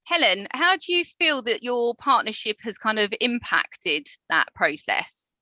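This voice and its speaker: Bright, dry-sounding woman hosting. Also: fairly high pitch, big pitch swings, unhurried, no echo. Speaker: host of a panel discussion. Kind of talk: conversational moderating.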